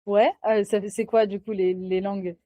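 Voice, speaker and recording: A woman's voice: mid-range voice, little pitch movement, moderate pace, mellow, dry recording. A woman hosting a live interview, casual and enthusiastic. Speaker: host.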